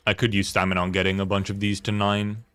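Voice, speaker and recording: Low-voiced man rambling over a game. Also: level tone, quick pace, a bit bright, smooth, dry recording. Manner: hesitant thinking aloud, repeats words. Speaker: video game streamer. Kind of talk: casual rambling gameplay commentary. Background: faint game music.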